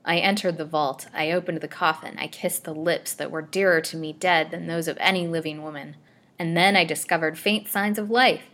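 A woman's voice: deep voice, steady tone, moderate pace, fairly bright tone. A woman voicing a possessive, ardent male suitor in a storybook, with florid and melodramatic declarations. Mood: pleading, ardent.

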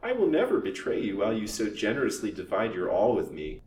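A man's voice: sing-song, fast talker, a little mellow. Man doing a whining, sly old beggar woman. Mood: sly, cunning. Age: older. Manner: whining, piteous, wheedling. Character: whining, sly old female lace vendor, greedy beggar. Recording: roomy, distant microphone, faint rumbling noise.

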